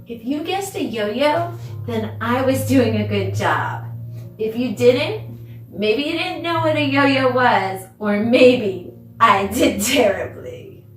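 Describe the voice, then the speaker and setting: A woman teaching a children's dance lesson on video, speaking directly to pupils in an encouraging way, asking questions and giving praise. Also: on the high side. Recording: roomy echo, distant mic, background noise.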